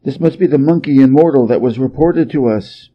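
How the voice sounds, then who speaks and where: A man playing a stern, aloof celestial emperor in a storybook reading, formal and measured, on a slightly muffled recording. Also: medium pitch, close to an everyday voice. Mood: commanding, calm. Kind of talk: storybook character voice.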